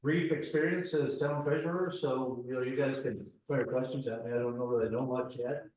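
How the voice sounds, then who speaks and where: A man's voice: medium pitch, steady tone, darkish tone, slight background noise. Man around sixty in an echoey meeting room. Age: about 60. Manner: self-deprecating, halting with fillers and restarts. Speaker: town treasurer. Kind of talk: meeting report, casual. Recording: very echoey room, voice far from mic.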